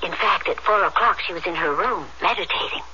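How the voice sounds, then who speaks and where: A woman's voice: fairly low pitch, a little fast, lightly acted. An actress playing a worried, caring mother of a grown daughter in a radio drama, hesitant and solicitous. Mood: polite, puzzled. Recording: muffled, noisy old radio broadcast.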